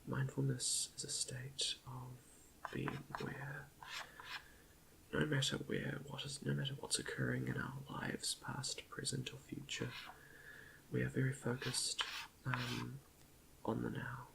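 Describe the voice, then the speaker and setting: A man quietly leading an ASMR guided meditation in a soft half-whisper, gentle and a little hesitant, close to the microphone with chalk clicks behind him. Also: medium pitch, slow pace, poor audio. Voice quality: soft, breathy half-whisper with creaky fry.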